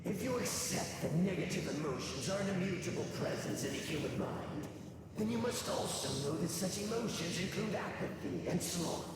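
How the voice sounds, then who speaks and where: An actor playing a sinister, coldly arrogant nightmare spirit in a video game, with grandiose, formal, theatrical delivery. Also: male voice, sits high, expressive, normal speed, distant mic, very noisy. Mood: scornful. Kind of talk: video game villain acting. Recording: cavernous reverberant voice under loud music.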